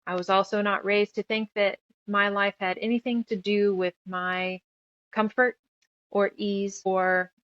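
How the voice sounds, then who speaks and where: A woman from New Mexico speaking as a guest in a casual interview, earnest and emphatic, with halting phrasing. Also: mid-range voice, level tone, a bit bright, no echo, highs cut off. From New Mexico, United States. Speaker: interview guest, author and radio show producer. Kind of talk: casual interview conversation, personal reflection.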